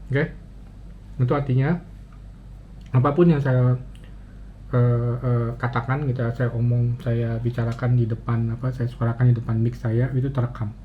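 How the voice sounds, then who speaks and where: A man's voice: mid-range voice, little pitch movement. Man, muffled noisy hands-on tutorial. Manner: halting, thinking aloud with frequent pauses. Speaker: motovlogger giving a gear tutorial. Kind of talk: casual step-by-step demonstration. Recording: muffled, dull audio with clear ambient noise.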